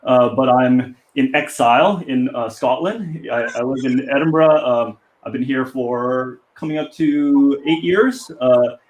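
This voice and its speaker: A man with an American accent, a university lecturer, talking casually and haltingly as a panelist. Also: mid-range voice, slight background noise. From United States. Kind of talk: casual self-introduction. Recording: roomy, echoey sound.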